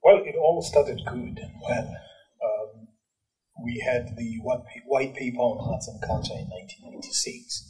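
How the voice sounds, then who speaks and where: A man from South Africa, a journalist and cultural commentator, answering at length in an animated way with many fillers and restarts. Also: medium pitch, distant mic, rough recording. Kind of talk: interview answers.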